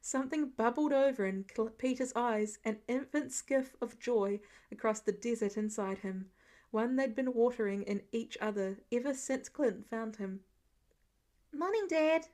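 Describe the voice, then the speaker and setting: A woman's voice: fairly high pitch, moderate pace, warm tone. A woman reading a scripted story aloud as the narrator of an audio fiction recording. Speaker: podfic narrator.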